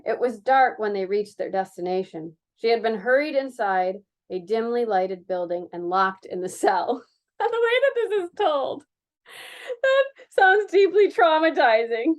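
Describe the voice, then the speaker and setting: Expressive woman reading aloud, higher-pitched voice. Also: measured pace. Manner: animated, theatrical. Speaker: reader of a children's mystery novel. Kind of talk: expressive read-aloud with amused asides.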